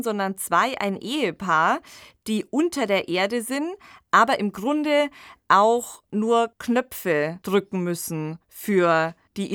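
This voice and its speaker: A woman presents a podcast in a conversational way. She takes her time, in a mid-pitched voice recorded very dry in a studio. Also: a bit bright, dry recording. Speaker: podcast host. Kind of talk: conversational radio drama introduction.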